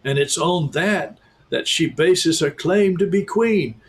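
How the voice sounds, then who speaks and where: A man reading aloud and voicing an excitable, earnest male beaver who talks emphatically. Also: on the high side, slow pace, voice pushed up. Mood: eager.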